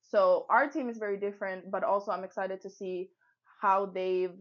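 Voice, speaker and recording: A woman's voice: mid-range voice, steady tone, normal speed, lo-fi sound. A woman in her twenties from Finland, co-hosting a podcast in an upbeat, reflective, talkative way. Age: 20s. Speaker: podcast co-host and football player. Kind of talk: casual podcast chat.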